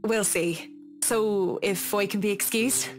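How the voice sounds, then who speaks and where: A woman's voice: mid-range voice, fairly even intonation, takes it slowly, faint music behind, natural voice. An actress playing a reserved professional woman in a visual novel, speaking in a guarded, courteous, apologetic way. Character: reserved, polite professional woman, interior designer. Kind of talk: visual novel character acting.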